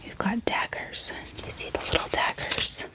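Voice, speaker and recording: A woman speaking in soft, gentle ASMR style, showing items and softly repeating words. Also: moderate pace. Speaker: ASMR book haul host. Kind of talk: soft-spoken whispered ASMR show-and-tell.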